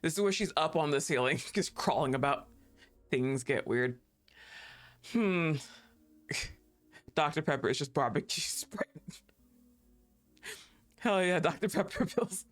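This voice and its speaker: A woman's voice: sits low, lively intonation, slow, pauses often. A young woman streaming a game, talking casually, laughing often and swearing freely.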